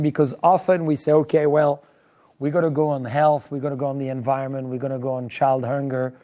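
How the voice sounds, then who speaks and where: Effusive French-accented man with mellow voice. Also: mid-range voice. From France. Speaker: host. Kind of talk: conversational live show hosting.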